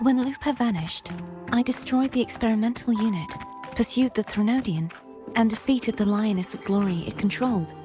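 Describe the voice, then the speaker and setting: An actress playing a solemn, dutiful young female warrior in a video game, speaking in a formal, measured way. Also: a little high, over music, lightly acted.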